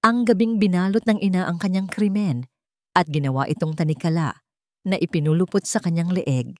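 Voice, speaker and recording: A woman narrating scripted dramatic fiction in a measured, suspenseful way, with deliberate pauses. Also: on the low side, dry recording, clean studio sound. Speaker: story narrator.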